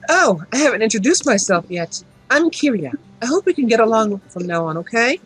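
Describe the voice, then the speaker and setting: A woman's voice: fairly high pitch, big pitch swings, brisk, slightly rough, low-quality recording. Woman voicing a friendly demon castle maid. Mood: cheery, polite. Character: friendly, overfamiliar female demon castle maid, loyal and chirpy. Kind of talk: amateur visual novel fandub acting.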